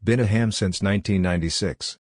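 Low-pitched man reading short phrases. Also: a little fast, darkish tone. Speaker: narrator of a Morse code practice drill.

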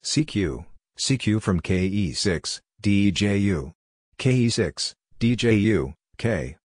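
Low-pitched man reading short phrases. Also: animated, no room sound, studio quality. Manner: clipped, deliberate phrasing, spelling out letters and numbers. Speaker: Morse code practice narrator. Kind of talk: scripted read-out of short radio phrases.

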